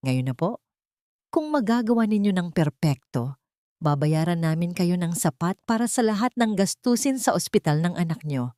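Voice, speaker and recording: A woman voicing a brisk, formal female event staffer making a hurried call in an audiobook drama. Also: lowish voice, lively intonation, mellow, no echo, studio quality, lightly acted. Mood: businesslike, hurried.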